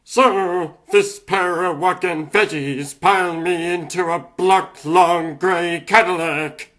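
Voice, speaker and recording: A man's voice: high-pitched, steady tone, slow, a bit bright. A man voicing a young mouse basketball star, telling his story in wry, slangy scripted narration for a comic dub. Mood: wry, reflective.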